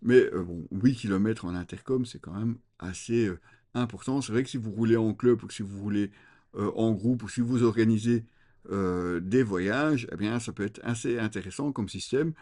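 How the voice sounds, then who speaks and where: Mellow-voiced man reviewing gear. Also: lowish voice, normal speed. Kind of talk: unscripted product review, presenting to viewers.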